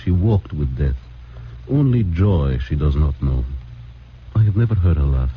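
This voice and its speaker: An actor playing an anxious, devout immigrant father who speaks in halting, formal, stammering phrases. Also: very deep voice, sing-song, slight background noise, heavily acted. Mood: solemn. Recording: muffled old radio broadcast.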